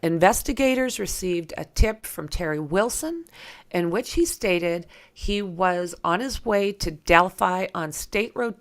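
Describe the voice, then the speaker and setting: A woman with a creaky voice hosting a true crime livestream, reading aloud and chatting informally with viewers as she thinks aloud. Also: mid-range voice. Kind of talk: reading documents aloud with casual asides to viewers.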